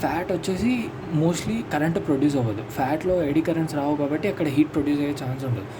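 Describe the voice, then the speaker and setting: A man's voice: on the high side, rapid-fire. A man with a Telugu accent giving an informal lesson as a teacher, explaining notes step by step and repeating points as if to one student. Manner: explanatory, repetitive, addressing a student directly. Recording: clear steady background noise.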